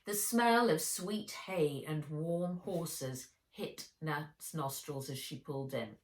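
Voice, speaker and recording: A woman author reading her children's adventure book aloud in an expressive storytelling style. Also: low-pitched, slow, recorded from a distance. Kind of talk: expressive children's audiobook narration. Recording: roomy, echoey room sound.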